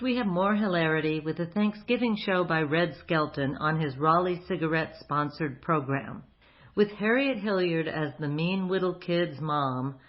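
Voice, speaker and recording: A woman's voice: deep voice, dull audio. A woman host reading a scripted introduction for a radio program.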